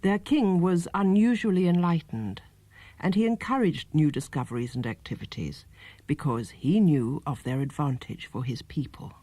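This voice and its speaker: A man's voice: on the high side, moderate pace, warm tone, no echo. A man giving a scripted storybook narration as an audiobook reader, close to the microphone.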